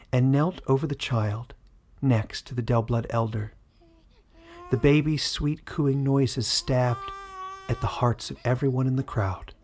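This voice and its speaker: A man narrating a fantasy story in a dramatic, scripted style with measured, suspenseful delivery. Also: mid-range voice, darkish tone. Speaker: author and narrator.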